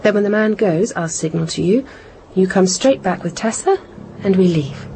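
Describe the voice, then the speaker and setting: A woman's voice: mid-range voice, animated, normal speed, warm tone, slight background noise, close to an everyday voice, rough recording. An actress playing a composed British housewife and mother turned reluctant spy in a radio drama, speaking with control. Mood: patient, firm. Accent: British English.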